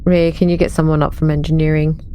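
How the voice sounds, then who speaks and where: A woman's voice: deep voice, flat delivery, fast talker, dark tone, lo-fi sound. A woman playing a tabletop role-playing game, casually voicing and describing her character. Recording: faint background noise.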